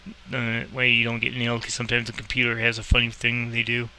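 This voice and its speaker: Male game tutorial host, informal and rambling. Crisp, very forward tone, little melodic movement, switches into controller phrasing. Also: mid-range voice, monotone, fast, sharp tone, slight background noise, low-quality recording. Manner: informal, rambling, thinking aloud. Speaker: host explaining a game and playing air traffic controller. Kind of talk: casual screen-recorded game tutorial with radio calls.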